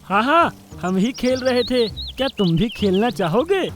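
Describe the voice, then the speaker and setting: A man voicing an anxious, friendly young deer with a thin high voice in a children's cartoon story. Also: sing-song, unhurried, fairly bright tone, a little hiss or hum, heavily acted. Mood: eager, placating. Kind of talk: Hindi children's animated story.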